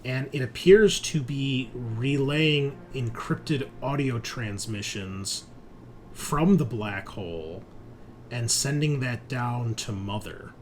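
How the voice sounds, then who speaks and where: A man working as game master, narrating a tabletop roleplaying game in a halting, conversational way. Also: medium pitch, expressive, a little hiss or hum.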